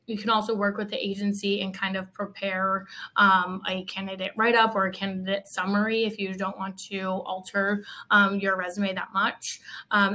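Female recruiter, crisp bright tone, fairly flat intonation, explanatory panel delivery. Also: medium pitch. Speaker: recruiter and panel guest giving job-seeker advice. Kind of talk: unscripted advisory panel talk.

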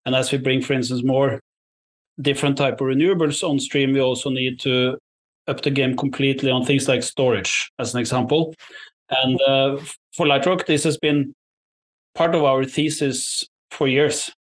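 Measured man giving careful interview answers. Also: medium pitch, fairly even intonation, poor audio. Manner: measured, diplomatic, careful wording. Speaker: investor, interview guest.

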